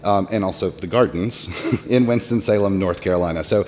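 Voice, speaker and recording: A man hosting a conference session, briskly reading an introduction of the next speaker. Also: low voice, background noise. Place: conference hall. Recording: long hall reverb, faint ambience.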